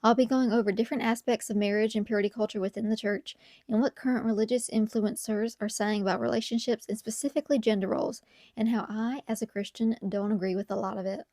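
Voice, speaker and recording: A hoarse, tired woman whispering at home at night, chatting casually as host of her own channel. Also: on the high side, steady tone, quick pace, dry recording, clean studio sound. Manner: whispering softly; apologetic, tired but upbeat. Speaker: video essay host.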